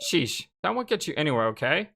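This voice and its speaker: A game streamer voicing a breezy, teasing kid, lively pitch. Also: fairly quick, creaky, slight background noise. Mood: needling.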